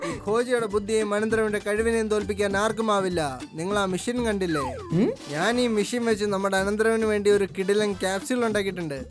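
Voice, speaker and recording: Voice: mid-range voice, fairly even intonation, quick pace, natural voice. A performer voicing a boastful, eccentric cartoon inventor who explains things with self-importance. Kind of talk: exaggerated children's cartoon dubbing. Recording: music behind the voice.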